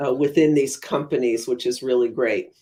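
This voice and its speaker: A woman's voice: rumbling low, normal speed, mellow. Woman, expert interview guest. Conversational explainer, thoughtful stop-and-start delivery, emphatic on key terms. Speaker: guest academic, economist and author.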